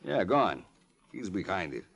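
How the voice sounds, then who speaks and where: An actor playing a tough, sardonic gangster with clipped, slangy speech in a 1950s radio crime drama. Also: male voice, deep voice, big pitch swings, normal speed, creaky, full character voice. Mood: menacing. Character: menacing male gangster killer, greedy, sardonic, threatening. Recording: old radio recording, noisy with clicks.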